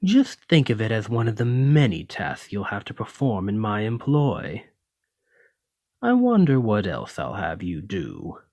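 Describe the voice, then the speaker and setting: A man's voice: lowish voice, darkish tone, no echo, studio quality, exaggerated voice. A man playing a haughty, teasing male lamia noble in an ASMR roleplay, with a pompous, refined, drawling and condescending manner. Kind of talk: ASMR roleplay, scripted monologue to listener.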